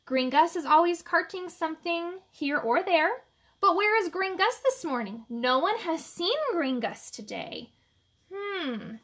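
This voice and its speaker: A woman hosting a children's storytime, reading aloud expressively with chatty, encouraging asides. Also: sits high. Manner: expressive, encouraging, asks the listeners questions. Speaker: storytime host reading picture books to children.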